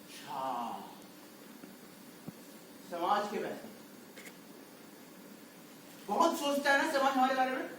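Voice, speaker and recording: A man's voice: sits high, wildly swooping pitch, slow, pauses often, fairly bright tone, exaggerated voice. A man playing a desperate, bitter circus clown and father on stage, declaiming and firing off rhetorical questions. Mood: bitter, pleading. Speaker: stage actor. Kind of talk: amateur Hindi stage play acting. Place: indoor stage, recorded from the audience area. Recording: distant, very echoey hall, faint noise.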